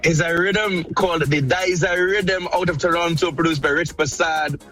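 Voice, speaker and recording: A man's voice: on the high side, steady tone, normal speed, sharp tone. A man, a music artist guest, talking in a friendly, easygoing way in a casual interview on a call line.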